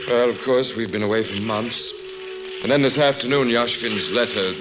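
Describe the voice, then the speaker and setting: An actor playing an ardent, reassuring gentleman lover in an old-time radio drama, suave and declamatory. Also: medium pitch, normal speed, close to an everyday voice, poor audio. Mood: light, reassuring. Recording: old radio broadcast, music behind.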